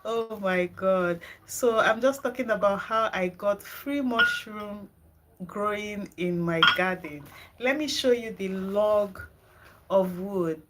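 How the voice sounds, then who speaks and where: Nigerian woman hosting a live garden stream, unhurried and chatty, faint noise behind. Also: mid-range voice, a bit bright. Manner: chatty, answers viewer comments, drawn-out slow delivery. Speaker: gardening channel host. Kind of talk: casual live-stream chat with viewers. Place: home garden and kitchen.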